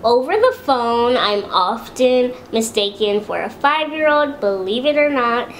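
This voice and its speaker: A young woman with a high-pitched voice, chatting casually to camera in a home video and talking about herself. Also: measured pace, slight background noise. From Los Angeles, USA. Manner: chatty, relaxed, with many fillers and restarts. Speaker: beauty vlogger.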